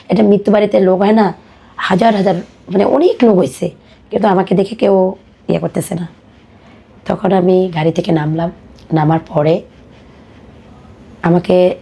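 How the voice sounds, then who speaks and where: Husky, bright-voiced Bangladeshi woman. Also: lowish voice. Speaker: podcast guest. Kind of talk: personal life story told in conversation.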